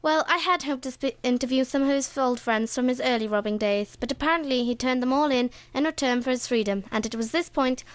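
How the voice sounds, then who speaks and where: A woman's voice: high-pitched, a little fast, hardly any pauses, dry recording, lightly acted. A young woman playing Roger, a male roving reporter in a comic radio play. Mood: earnest reporting. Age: young adult. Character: chirpy male roving reporter sent back in time.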